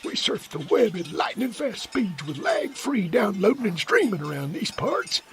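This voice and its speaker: A man's voice: high-pitched, big pitch swings, normal speed, fairly bright tone. A man playing a forgetful, cranky old country grandpa with a Southern US accent in a comedic audio drama. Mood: boastful, cranky. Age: older. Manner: repetitive, scatterbrained, folksy.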